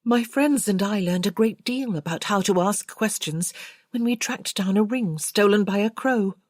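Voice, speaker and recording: Woman voicing an eager, inquisitive young gentlewoman, lightly raised. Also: mid-range voice, normal speed, no room sound, clean studio sound, pitched above the performer's own voice, lightly acted. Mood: proud, modest. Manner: polite, keen.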